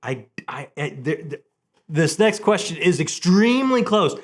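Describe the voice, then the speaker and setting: A man with a slightly high voice hosts a casual book chat. He speaks with enthusiasm and animation, often restarting and repeating words as he works toward his point. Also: very animated, measured pace. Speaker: book vlog host.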